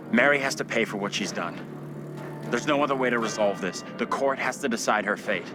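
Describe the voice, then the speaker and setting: A man's voice: medium pitch, a little fast, over music, lightly acted. An actor playing an uneasy, conflicted man in a horror video game, speaking in a plain, worried manner. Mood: resigned. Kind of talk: naturalistic video game acting.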